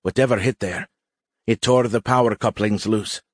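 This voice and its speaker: A man's voice: lowish voice, steady tone, moderate pace, no room sound, clean studio sound, natural voice. A man voicing a weary, blunt young female alien cadet in an audiobook, speaking formally and with emphatic insistence. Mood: exhausted, adamant.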